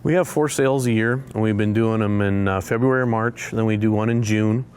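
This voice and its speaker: A man's voice: on the low side, a little fast, darkish tone, clean tone. A man who runs an auction house, answering interview questions in a plainspoken, matter-of-fact way.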